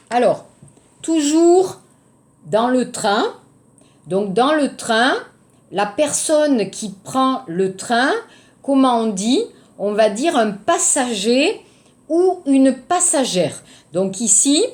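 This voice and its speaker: French teacher lady, southern accent, talks real slow, highish voice, repeats stuff for students. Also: a little mellow. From southern France. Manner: didactic, repeats key words, checks understanding. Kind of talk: recorded online language lesson.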